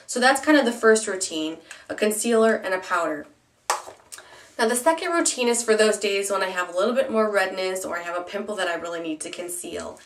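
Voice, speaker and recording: A woman's voice: medium pitch, a little fast, roomy sound, far from the mic, a little hiss or hum. A young woman with a stuffy, nasal voice from a cold, chatting casually through a product review with plenty of ums and restarts. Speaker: beauty vlogger.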